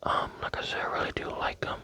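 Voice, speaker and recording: A man's voice: quick pace, sharp tone. A young man rambling playfully in a close-miked ASMR whisper, with many fillers. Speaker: ASMR creator.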